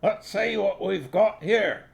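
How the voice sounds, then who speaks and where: Nobby, a gravelly, high-pitched blusterer. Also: male voice, takes it slowly, full character voice. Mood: blustering. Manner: blustering, pushy, bossing people about. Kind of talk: audiobook character voice.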